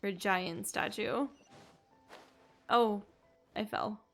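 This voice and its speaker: Chatty, creaky-voiced woman streamer. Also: medium pitch, extremely slow, a bit bright, soft background music. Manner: informal, chatty, rambling. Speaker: livestreamer. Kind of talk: casual gaming stream commentary.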